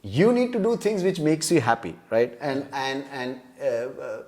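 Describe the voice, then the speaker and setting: A man's voice: mid-range voice, animated, unhurried, dark tone. A man, chatting as a guest. He jokes and rambles, laughing as he goes, in an everyday mid-range voice. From India. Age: 30s. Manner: jokey, rambling, laughs often. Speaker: podcast guest. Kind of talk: casual chat.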